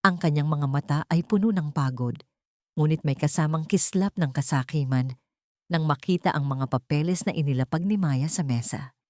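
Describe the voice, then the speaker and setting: Female audio drama narrator, low register, dry recording, dramatic scripted storytelling. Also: moderate pace, warm tone, no room sound.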